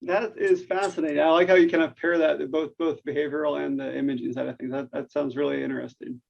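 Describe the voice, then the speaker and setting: A man hosts an interview and often stumbles, repeating words before he gets a question out. His voice is gravelly and a little high, and the recording sounds dull and lo-fi. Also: steady tone, fast. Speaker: podcast host. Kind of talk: hosting an interview.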